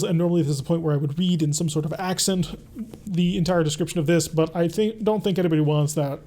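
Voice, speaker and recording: A man's voice: on the high side, mellow. A young man streaming a mech strategy game, chatting casually and answering his live chat as he plays. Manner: chatty, reads and answers chat while playing. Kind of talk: casual gameplay commentary.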